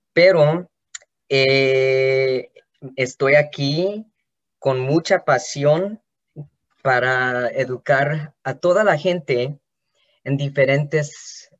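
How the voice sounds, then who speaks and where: Slow, hesitant man on a podcast. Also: medium pitch, highs cut off. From Los Angeles, California. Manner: slow, hesitant, with many restarts. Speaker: podcast host. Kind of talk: personal monologue.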